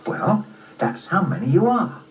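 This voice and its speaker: A woman's voice: on the low side, very animated, unhurried, voice pushed up. A woman voicing a friendly, helpful animal hero in a children's story, with a polite, earnest manner. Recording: roomy, distant, faintly noisy recording.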